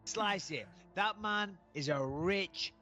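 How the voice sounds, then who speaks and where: A man's voice: sits high, slow, bright, forceful tone, soft background music. A man giving podcast commentary in a wry, incredulous manner.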